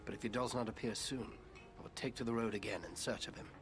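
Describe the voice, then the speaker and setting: An actor playing a grave, watchful ranger chieftain in a fantasy game, speaking in a measured, earnest way. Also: male voice, fairly low pitch, fairly even intonation, close to an everyday voice. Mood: worried resolve.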